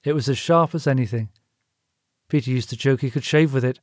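A man's voice: mid-range voice, a little fast, no echo, clean studio sound. A man reading scripted fiction as an audiobook narrator.

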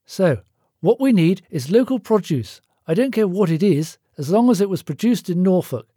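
A blunt, matey office boss played by a male narrator in an audiobook story. He is bossy and brash, handing out orders in a raised pitch, with a subdued tone and a very dry, close sound. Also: expressive, moderate pace, warm tone, breathy edge, dry recording. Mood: brisk, instructing.

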